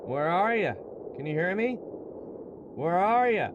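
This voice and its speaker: A man's voice: sits high, lively intonation, slow pace, lo-fi sound, a little hiss or hum. A man playing an anxious young man who calls out pleadingly to his partner in the snow, in an old-time radio drama. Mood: searching, worried. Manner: pleading, calling out.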